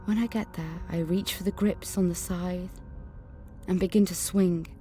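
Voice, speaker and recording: A woman's voice: lowish voice, fairly even intonation, measured pace, warm tone, soft background music, close to an everyday voice. A woman playing an angry, suspicious teenage schoolgirl in an audio drama, earnestly narrating her memories and visions and speaking in dialogue. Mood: haunted, measured.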